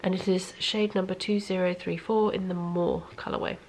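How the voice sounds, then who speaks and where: A woman's voice: fairly low pitch, little pitch movement, normal speed, darkish tone, slight background noise, poor audio. A woman chatting casually in a vlog in a rambling way, audibly congested and sniffly, sometimes dropping almost to a whisper. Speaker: knitting vlogger.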